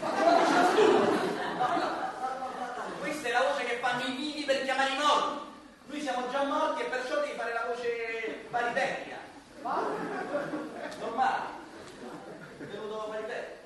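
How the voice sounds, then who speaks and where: A man playing a bossy, exasperated ghost coach, hectoring and excitable, in a comic radio play. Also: extremely high pitch, moderate pace, pauses often, crowd noise. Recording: echoey noisy hall, far from mic.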